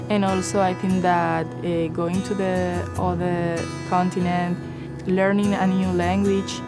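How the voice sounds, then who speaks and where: A young woman with a Spanish accent talks in a low, level voice. Her manner is straightforward and fond, and music plays behind her. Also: level tone, takes it slowly. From Spain. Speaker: college basketball player. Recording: music bed under the voice.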